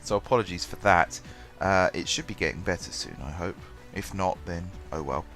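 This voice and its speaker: A man's voice: low-pitched, moderate pace, a bit bright. Congested man with a cold. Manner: rambling, many ums and restarts. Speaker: gaming channel host. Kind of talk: casual gameplay commentary. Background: faint background music.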